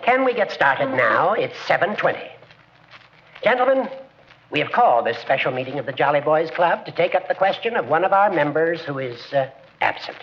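A fussy older judge with a high, swooping voice. He speaks formally and pompously, as if chairing a meeting, in a muffled 1940s radio comedy. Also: big pitch swings, normal speed, slight background noise. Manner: pompous, orderly, wordy. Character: formal, pontificating small-town judge, fussy older man.

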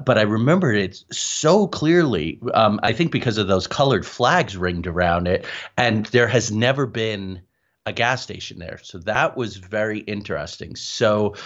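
A man's voice: on the low side, fairly bright tone, lo-fi sound. A man speaking as an interview guest, recounting his own experiences in conversation. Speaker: interview guest, paranormal researcher.

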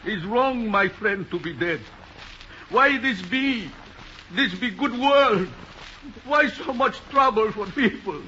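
An actor playing a distraught old immigrant club owner with an Eastern European accent, in broken, plaintive English in a radio drama. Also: male voice, high voice, lively intonation, slow pace, bright, forceful tone, heavily acted. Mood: grieving, upset. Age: older. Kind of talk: 1950s radio drama acting. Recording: dull, faintly noisy old radio recording.

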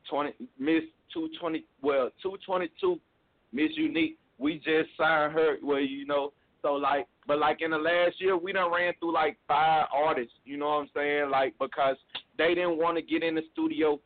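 A man's voice: fairly high pitch, moderate pace, vocal fry. Laid-back man on a phone line. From Mississippi. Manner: laid-back, repeats "you know what I'm saying". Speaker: music label co-owner guest. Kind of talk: casual phone interview.

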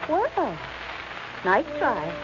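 A woman's voice: fairly high pitch, wildly swooping pitch, drawn-out words, heavy background noise, full character voice. An actress playing a nervous, loyal female sidekick to a detective in a 1940s radio mystery. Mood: nervous, wry. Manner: nervous, hesitant, wry. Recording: muffled old radio recording, loud music behind.

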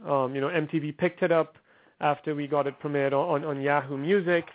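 A man's voice: a little high, fairly even intonation, brisk. A man, a musician talking casually as a guest in an upbeat, rambling way with many fillers. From Trinidad and Tobago. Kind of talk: casual phone interview. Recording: tinny phone line.

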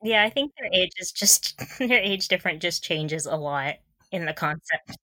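A woman's voice: lowish voice, animated, moderate pace, pushed and bright, dry recording, rough recording. A young woman co-hosting a podcast in casual fan chat, playful and gushing, often giggling through her words. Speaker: podcast co-host. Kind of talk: casual fan discussion of audio dramas.

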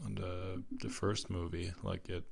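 A man co-hosting a casual film podcast, halting and full of restarts, laughing often. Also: sits low, level tone, vocal fry. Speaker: podcast co-host.

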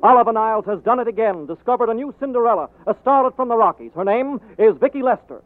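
Rapid, high-pitched gossip reporter. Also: male voice, lively intonation, no echo. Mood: breathless hype. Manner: punchy, breathless hype. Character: excitable, fast-talking male Hollywood gossip reporter. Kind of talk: 1940s radio news bulletin acting. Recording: muffled 1940s radio recording.

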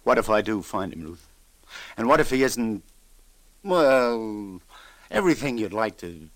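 An actor playing a tough but soft-hearted New York police lieutenant in 1950s radio crime drama, halting and wry. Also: male voice, lowish voice, expressive, no echo. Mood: careful, hesitant.

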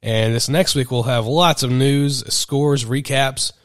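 A man's voice: medium pitch, steady tone, slow pace, no echo. A man hosting a podcast has a head cold, and it comes through in his voice. He talks casually through the wrap-up of the episode. The recording is dry and close, with no room sound. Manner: apologetic, chatty wrap-up. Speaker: podcast host.

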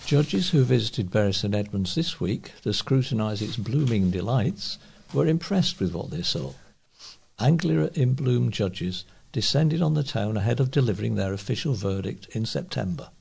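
Male newsreader for community news: very creaky, soft dark tone. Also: on the low side, animated, moderate pace. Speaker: volunteer newsreader for a local talking newspaper. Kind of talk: read-aloud local news.

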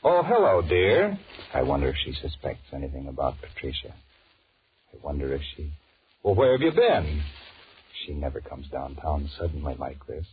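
An actor playing a weary, sullen two-timing American office man in a 1940s radio drama, musing in a deadpan mutter. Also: rumbling low, normal speed, heavily acted. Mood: falsely cheerful, then brooding, furtive. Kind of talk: 1940s radio drama acting, inner monologue. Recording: muffled, noisy 1940s radio recording.

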